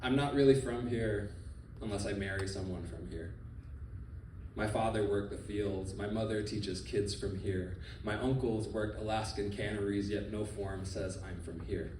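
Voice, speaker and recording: A man with a fairly low voice reads his poems aloud at a live event, breaking into loose, joking banter between pieces. He stands back from the mic, and the sound carries through a roomy hall. Steady room noise sits underneath him. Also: moderate pace, recorded from a distance. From Philippines. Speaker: poet. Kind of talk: live poetry reading with banter. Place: live reading venue. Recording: roomy live venue, off-mic, audience ambience.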